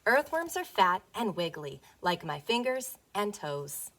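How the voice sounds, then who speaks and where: Female primary teacher for a children's read-aloud, very lively pitch swings, mid-range voice, playful questioning delivery. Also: a little slow, a little hiss or hum. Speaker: elementary school teacher reading aloud to young pupils. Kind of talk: read-aloud picture book lesson for young children.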